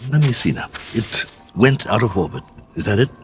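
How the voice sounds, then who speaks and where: An actor playing a worn-out, injured and lonely crash-landed space colonel in a radio drama, talking in halting, emphatic bursts. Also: male voice, on the low side, very animated, noisy background. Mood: earnest, explaining. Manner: halting, repetitive, emphatic pleading and musing.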